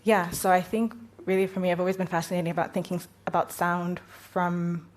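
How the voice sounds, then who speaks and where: A woman's voice: lowish voice, level tone, brisk. A woman on a live panel, speaking thoughtfully and searching for words as she reflects.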